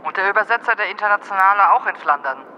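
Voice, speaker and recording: A man's voice: sits high, expressive, quick pace. A man reciting scripted narration as one of several narrators in an audio drama. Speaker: chorus narrator. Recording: dull, band-limited outdoor sound.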